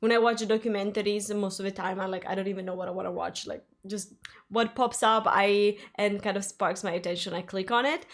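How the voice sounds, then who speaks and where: A woman whose first language is Ukrainian, chatting casually and rambling with many fillers as she hosts a reaction video. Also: mid-range voice.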